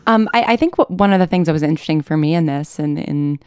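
Female interview guest, deep and clear voice, dry close sound, articulate and analytical. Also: quick pace, darkish tone, dry recording. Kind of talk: thoughtful interview conversation.

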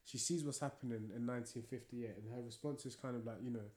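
A man's voice: medium pitch, fairly even intonation, quick pace, darkish tone. A young man telling a story in a casual podcast chat, with lots of fillers and restarts. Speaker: podcast co-host. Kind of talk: casual conversation. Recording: echoey room.

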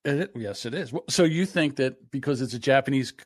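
A man's voice: mid-range voice, a little fast, dry recording. A man co-hosting a podcast who banters and jokes with his partner in casual chat. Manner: bantering, joking. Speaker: podcast co-host.

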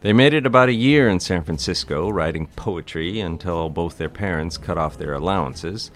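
Man playing a deep-voiced charming young bachelor. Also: moderate pace, close to an everyday voice. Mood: wry, wistful. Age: young adult. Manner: smooth, teasing, courteous. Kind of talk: period romantic audio drama acting.

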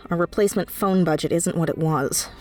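A woman's voice: lowish voice, brisk, a little mellow, faint music behind, close to an everyday voice. A woman playing a casual, playful, introverted friend in a naturalistic audio drama, teasing and informal.

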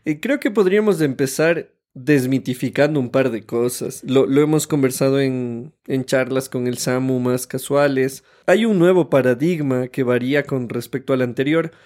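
A man's voice: mid-range voice, normal speed, hardly any pauses, darkish tone. A man in his thirties with an Ecuadorian Spanish accent, co-hosting a conversational podcast. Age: 30s. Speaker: podcast co-host.

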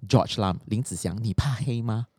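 A woman's voice: rumbling low, normal speed, mellow, husky, no echo. A woman with a Singaporean accent co-hosting a podcast in casual chat, switching between English and Mandarin. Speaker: podcast co-host.

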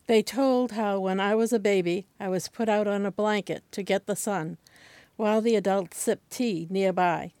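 Older woman, Boston accent, wry measured reading, mid pitch, dry recording. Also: darkish tone, dry recording. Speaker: senior center reader. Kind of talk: reading a personal essay aloud.

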